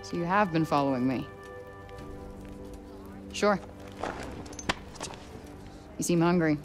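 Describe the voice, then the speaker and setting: An actress playing a brash, sardonic young adventurer in a video game, with a casual, slangy, sarcastic way of talking. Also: female voice, sits low, slow pace. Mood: suspicious. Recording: music behind the voice.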